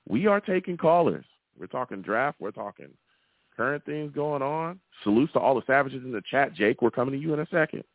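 A man's voice: mid-range voice, expressive, fairly quick, no echo, phone-quality sound. A man hosting a live sports call-in show, talking emphatically and repeating phrases for effect. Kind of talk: animated sports talk with callers.

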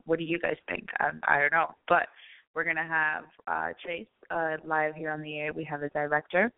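A woman hosting a live radio interview show in a casual, chatty way, thinking aloud as she talks. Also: sits low. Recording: tinny phone-like band, roomy echo.

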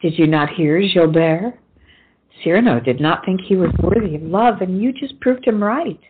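A woman's voice: lowish voice, moderate pace, slightly rough, phone-quality sound. A woman playing a blunt, outspoken, loyal friend who scolds theatrically in a period stage comedy. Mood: firm, persuading.

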